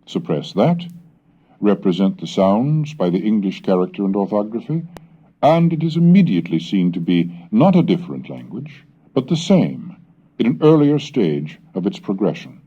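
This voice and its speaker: A man giving a formal, measured scholarly reading, reciting old verses slowly and carefully, on a dull 1970s cassette recording. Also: lowish voice, sing-song, mellow, breathy edge. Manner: measured, deliberate, slows and lifts for the old tongue. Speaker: scholarly reader.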